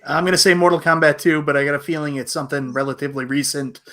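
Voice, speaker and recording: A man's voice: a little high, flat delivery, fast talker, pushed and bright. A man co-hosting a casual panel chat, opinionated, with a wry joking manner. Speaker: podcast co-host.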